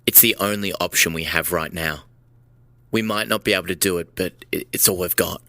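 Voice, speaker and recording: A male actor plays a fidgety man weighed down by guilt in an audio drama. His voice is low and sharp, recorded close and dry, and he halts and repeats himself as he plots to put things right. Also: a little fast, no room sound. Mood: desperate, pleading. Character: fidgety, guilt-ridden man plotting to undo his damage.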